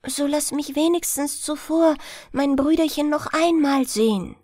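A woman's voice: sits high, a little slow, warm tone, no echo, clean studio sound, pitched above the performer's own voice. A woman voicing a kind, selfless little girl in a storybook, earnest and pleading. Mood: sad.

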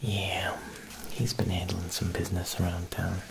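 A man's voice: deep voice, monotone, measured pace, creaky, noisy background. A young man whispering an ASMR roleplay as a joking, faintly menacing Italian mob boss tailor, with wiseguy turns of talk. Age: young adult. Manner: wiseguy talk, frequent "huh" tags. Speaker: ASMR performer playing a tailor.

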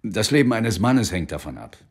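An actor playing an affable London police inspector and ghost hunter in a naturalistic radio play. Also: male voice, fairly low pitch, big pitch swings, moderate pace, slightly rough, heavily acted. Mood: evasive, affectionate.

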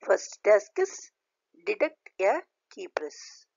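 A woman lecturer reading a scripted slide lesson aloud in a deliberate, halting way, in short phrases. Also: mid-range voice, sing-song, vocal fry, darkish tone, no room sound. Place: voice-over recorded over slides. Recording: dull, lo-fi voice recording.

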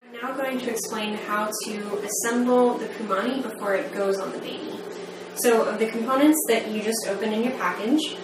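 A woman in her thirties giving a measured, step-by-step training demonstration in the manner of a methodical instructor. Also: a little high. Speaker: medical device trainer. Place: small plain indoor room. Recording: echoey room, distant mic, background noise.

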